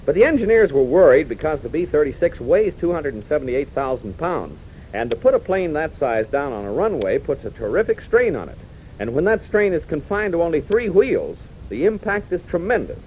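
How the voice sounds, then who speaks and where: Animated 1940s radio announcer man. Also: fairly high pitch, fairly quick. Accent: American. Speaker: announcer and narrator. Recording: muffled, noisy 1940s radio recording.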